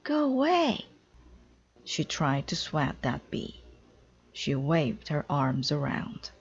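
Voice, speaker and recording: A woman's voice: very deep voice, steady tone, slow pace, vocal fry, husky, lo-fi sound. A woman narrating a children's storybook aloud as a reader.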